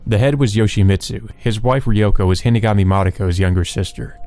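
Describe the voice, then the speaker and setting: A man's voice: lowish voice, a little fast, dark tone. A man reading visual novel narration aloud as a let's play host.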